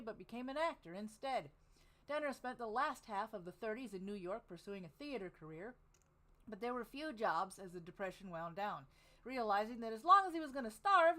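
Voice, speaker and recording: A woman's voice: medium pitch, fairly bright tone, rough recording. Lady podcast host reading out a bio, casual, laughs at her own flubs, medium voice. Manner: reads plainly, laughs at her own slips. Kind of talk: reading a biography aloud, casual.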